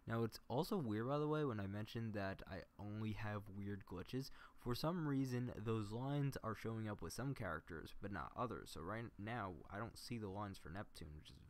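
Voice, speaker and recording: A man's voice: on the low side, moderate pace, hardly any pauses. A man livestreaming a casual, unscripted tutorial, thinking aloud and muttering to himself as he works. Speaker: livestreamer demonstrating video editing. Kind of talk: casual unscripted tutorial commentary while working.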